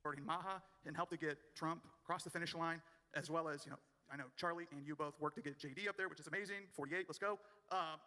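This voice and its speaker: An eager man from the audience putting a question to a speaker, quick and a little high-pitched. The long reverb of a big hall hangs around his voice. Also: steady tone, bright, forceful tone. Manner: eager, admiring fan. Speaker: audience member asking a question.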